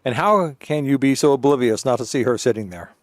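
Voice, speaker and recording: A man's voice: medium pitch, fairly quick, no echo. A man streaming a game, giving wry, joking and mock-dramatic commentary. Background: game music.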